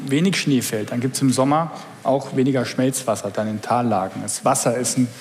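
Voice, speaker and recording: A man's voice: medium pitch, fairly quick, a little mellow, slight background noise. A man moderating a public panel discussion with brief, guiding prompts. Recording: hall reverb.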